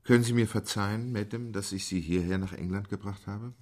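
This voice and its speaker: An actor playing a reserved, formal English gentleman in a radio play, speaking in a measured, restrained way. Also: fairly low pitch, fairly even intonation, natural voice.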